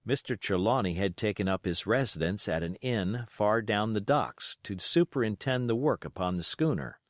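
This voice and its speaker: A man reading a classic adventure novel aloud as an audiobook, in an expressive, measured storytelling delivery. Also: fairly low pitch, no echo, clean studio sound. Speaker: audiobook reader.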